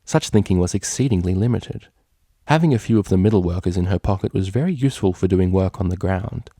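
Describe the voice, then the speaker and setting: A man's voice: deep voice, expressive, fast talker, dark tone, no room sound, studio quality. A man reading a story aloud as scripted narration. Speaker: fan fiction reader.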